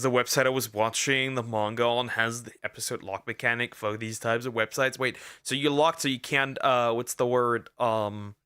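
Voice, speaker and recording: A man's voice: medium pitch, bright, forceful tone, smooth. A man streaming as a vtuber and reacting to clips, blunt and emphatic, swearing and repeating his objections. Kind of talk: casual reaction commentary.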